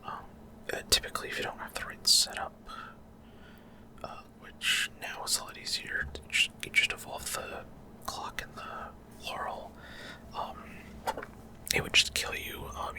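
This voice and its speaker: A man doing ASMR gameplay commentary in a rambling, hesitant way, often trailing off mid-thought. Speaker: gaming ASMR creator.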